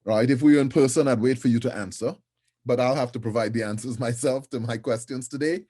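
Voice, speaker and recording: A middle-aged man from Trinidad and Tobago giving a conference lecture in a conversational way, often checking in with "right?" and filling pauses with "um". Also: medium pitch, steady tone, mellow, slightly rough. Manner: conversational, with frequent tag "right?" and fillers.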